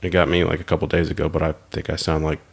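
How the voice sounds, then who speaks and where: A man's voice: a bass voice, monotone, racing, vocal fry, husky. A man, sounding nasal from allergies, chatting and asking questions as a podcast co-host. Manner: chatty, inquisitive. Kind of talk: casual podcast chat.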